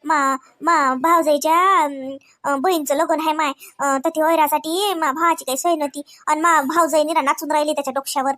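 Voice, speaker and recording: A woman's voice: high-pitched, steady tone, fairly quick, bright, forceful tone. A woman voicing an anxious village debtor in a comedy cartoon, pleading plaintively and repetitively with a Vidarbha Marathi accent. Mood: explaining, anxious. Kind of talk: comedy cartoon dialogue in rural dialect.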